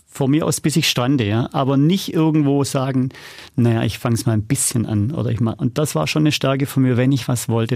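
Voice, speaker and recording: A man's voice: medium pitch, creaky, a little mellow, no echo, clean studio sound. A man speaking as a podcast guest, telling stories conversationally and acting out bits of quoted dialogue. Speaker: podcast guest, audio drama producer. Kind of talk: conversational interview answers.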